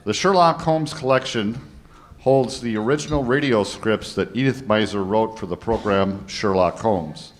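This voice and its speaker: Low-voiced man giving an introduction. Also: normal speed. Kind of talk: scripted introduction.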